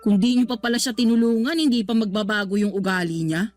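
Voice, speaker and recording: A woman plays a young, affectionate daughter who loves to tease, in a radio drama. Her delivery is bantering and exclamatory, at a medium pitch. Faint music plays behind her. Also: fast, a little mellow, close to an everyday voice. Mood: curious. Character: teasing, affectionate grown child of a smitten father.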